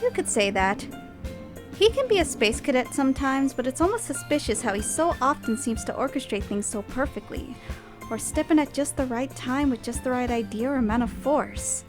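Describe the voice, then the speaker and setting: A woman's voice: high-pitched, animated, normal speed, music in the background. An actress playing an earnest, smitten young schoolgirl heroine, with wistful narration and dialogue in a visual novel audio drama. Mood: fond, wistful. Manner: bright-hearted, wistful inner narration and dialogue.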